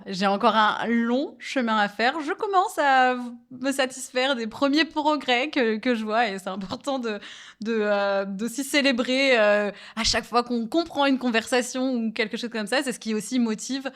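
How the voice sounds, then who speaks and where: A woman from France chatting casually and reflectively, asking questions and using fillers. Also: fairly high pitch. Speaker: co-host and interviewer. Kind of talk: casual conversation.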